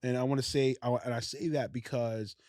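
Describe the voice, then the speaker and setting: A man's voice: medium pitch, fairly even intonation, brisk, darkish tone, dry recording, studio quality. A man hosting a podcast, asking long, rambling questions in loose slang with lots of fillers. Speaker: podcast host. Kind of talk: casual interview chat.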